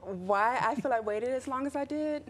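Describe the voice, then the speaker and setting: A woman's voice: high voice, normal speed. A woman in her forties being interviewed on TV, candid and a little hesitant. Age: 40s. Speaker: reality show guest. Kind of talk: TV interview answers.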